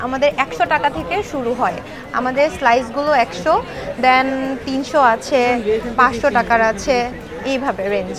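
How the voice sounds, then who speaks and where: High-voiced woman selling at a busy stall. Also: moderate pace. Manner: mixes English words into speech. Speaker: bookstall seller. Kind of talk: informal interview, presenting products. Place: busy book fair stall. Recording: busy crowd noise behind.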